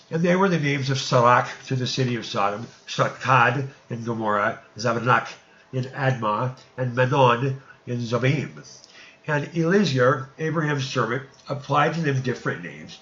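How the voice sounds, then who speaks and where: Guy reading old bible-style stories aloud, solemn, kinda muffled lo-fi audio, medium pitch. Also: moderate pace, a bit bright, echoey room. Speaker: narrator. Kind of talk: amateur scripture audiobook reading.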